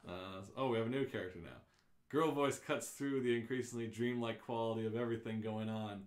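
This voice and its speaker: A man's voice: mid-range voice, brisk. A man narrating a radio script in a casual live read-through, tripping over words and tossing in his own asides. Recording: echoey room.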